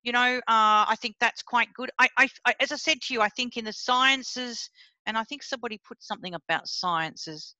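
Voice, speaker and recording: A woman's voice: fairly high pitch, normal speed, pushed and bright, dry recording, muffled recording. A middle-aged woman professor from Sri Lanka, leading a seminar over a video call in a blunt, chatty way that speaks straight to her listeners. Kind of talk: informal academic talk on a video call.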